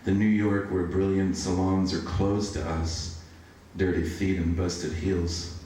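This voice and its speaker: Guy reading poetry slow n low, super echoey room, kinda far from the mic. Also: level tone, dark tone, slight background noise. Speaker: poetry reader. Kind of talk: live poetry reading at a book launch. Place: bookshop event, recorded from a distance.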